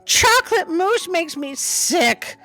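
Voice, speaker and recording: Lady doing a bratty little wolf kid, whiny, high, stretches words way out, storybook style. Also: very animated, sharp tone, soft background music, exaggerated voice. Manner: whining, drawn-out complaining.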